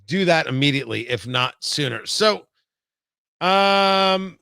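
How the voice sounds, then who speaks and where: Guy hosting a talk show, bright forceful voice, kinda high, punchy delivery, super dry close sound. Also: lively intonation, slow pace, gravelly, clear, resonant tone, no room sound. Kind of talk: conversational political talk show with ad read.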